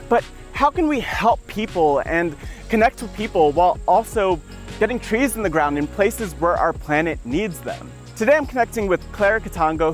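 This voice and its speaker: A man with a fairly high voice hosts an interview outdoors in a park. He is upbeat and asks long, open questions. Faint music plays underneath. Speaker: interviewer, show host. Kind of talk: casual interview.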